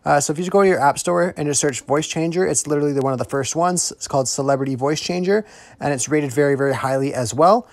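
Young man, higher-pitched tutorial voice. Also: quick pace, no room sound. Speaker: host of app tutorials. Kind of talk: casual screen-recording tutorial narration.

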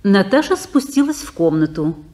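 A woman reading stage directions for a radio play in an even, measured way. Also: medium pitch. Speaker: narrator.

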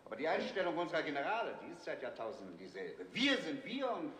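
Older scientist in old tv sci-fi, argues fast and ironic, hall sound. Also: male voice, on the high side, big pitch swings, fairly bright tone. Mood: dryly critical. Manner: articulate, measured argument, dryly ironic. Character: calm, ironic older scientific adviser opposing military action. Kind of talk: 1960s German TV science fiction acting. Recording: reverberant set, faint noise.

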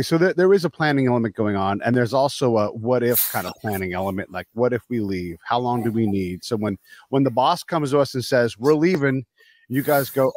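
A man's voice: mid-range voice, dry recording. An American man hosting a podcast, talking in a casual, conversational interviewing style. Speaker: podcast host.

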